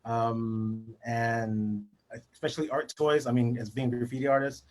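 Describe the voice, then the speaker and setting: Relaxed man guest, mid pitch. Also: moderate pace. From San Francisco Bay Area, California. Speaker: guest, mural painter and collector. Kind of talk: casual interview chat.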